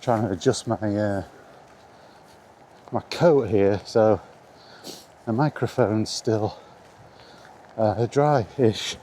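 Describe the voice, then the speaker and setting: Mellow British man, casual and rambling. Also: fairly low pitch, drawn-out words, long pauses. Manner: informal, meandering, mildly sweary. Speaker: video maker chatting about video games. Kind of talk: casual rambling vlog chat. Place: outdoors in the rain, walking. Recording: outdoor ambient noise, faint.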